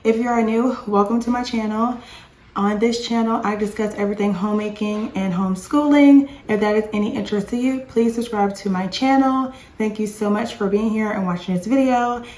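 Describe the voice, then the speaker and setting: A woman in her thirties chats away in a rambling, friendly vlog, her voice a little hoarse from cheering. She sits in an echoey room at home, and her pitch stays in a middle range. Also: brisk, darkish tone. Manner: chatty, rambling with restarts. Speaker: homeschooling mom vlogger. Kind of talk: casual chatty vlog. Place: home with dishwasher and dryer running.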